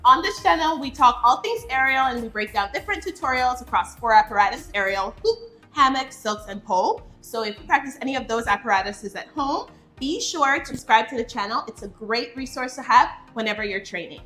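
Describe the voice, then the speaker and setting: Upbeat young woman, bright clear voice, walks thru pole safety stuff, faint music behind. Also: fairly high pitch, animated, fairly quick, echoey room. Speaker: pole dance instructor, co-host. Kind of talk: casual tutorial instruction.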